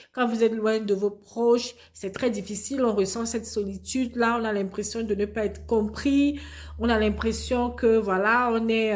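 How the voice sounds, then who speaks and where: A woman from Cameroon, reflecting on her own experience in a calm, conversational way with frequent hesitations. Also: mid-range voice. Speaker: immigrant interviewee. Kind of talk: personal testimony, conversational.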